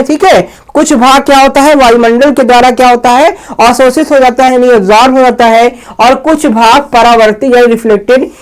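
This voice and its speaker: A man's voice: very high-pitched, poor audio. A man teaching in a lecture style, explaining step by step with repetition, rhetorical questions and frequent check words.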